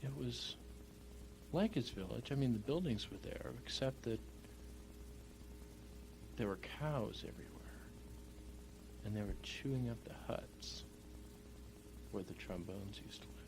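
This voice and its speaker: A man with a gravelly voice telling an improvised bedtime story in halting, drawn-out phrases. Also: medium pitch, animated. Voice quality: gravelly, creaky. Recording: noisy home recording with hum.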